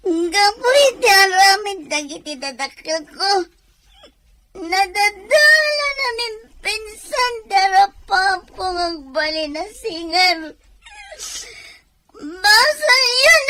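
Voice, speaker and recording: A woman's voice: extremely high pitch, lively intonation, takes it slowly, bright, forceful tone, exaggerated voice. A woman playing an anguished young wife in a radio drama, wailing and defiant through her tears. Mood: tearful, defiant. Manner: tearful, wailing delivery.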